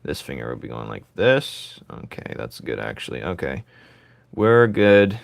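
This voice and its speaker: A man with a raspy voice streaming his drawing, thinking aloud in casual chat and joking with viewers. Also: very deep voice, big pitch swings, a little slow, no echo.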